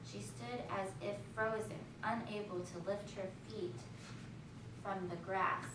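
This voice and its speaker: A woman reading a story aloud to young children as their teacher, pausing to ask questions and chat with them. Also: mid-range voice, steady tone. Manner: pauses to question listeners and echo their answers. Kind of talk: read-aloud storytelling with chatty asides to children. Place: echoey classroom, recorded from a distance. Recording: distant, echoey, noisy room recording.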